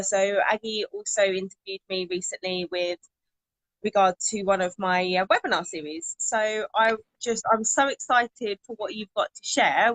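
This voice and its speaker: A woman's voice: mid-range voice, a bit bright, no room sound, low-quality recording. A woman who works as a confidence coach hosts a live social media interview, bubbly and enthusiastic with her guest.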